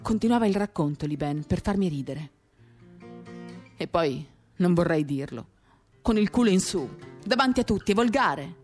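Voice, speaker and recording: A woman giving a measured, intimate dramatic reading of first-person literary narration in short, paused phrases, accompanied by acoustic guitar. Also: fairly low pitch, animated, darkish tone. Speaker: reader of a novel.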